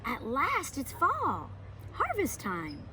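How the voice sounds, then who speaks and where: A woman speaks as a homeschool teacher presenting to young children. Her delivery is emphatic and storybook-like, with pitch that moves around in a lively way. Faint ambient noise sits behind her voice. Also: takes it slowly. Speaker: homeschool teacher hosting a read-aloud. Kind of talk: children's picture book read-aloud and lesson presenting.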